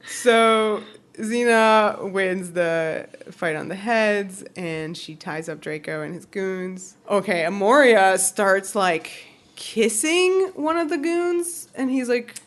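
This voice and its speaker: A woman's voice: medium pitch, slow pace. A woman co-hosting a podcast, chatting casually through a TV recap in a giggly, conversational way peppered with "like.". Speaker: podcast co-host.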